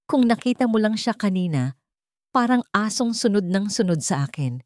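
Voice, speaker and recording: A woman's voice: medium pitch, lively intonation, moderate pace, darkish tone, dry recording, pitched above the performer's own voice, close to an everyday voice. A woman narrator acts out a greedy young Filipina fiancée in a romance audio story. She gloats and mocks under a sugary surface. The sound is close and very dry. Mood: smug, excited. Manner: gloating, sugary when deceiving. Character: greedy, scheming young gold-digger fiancée, smug and mocking.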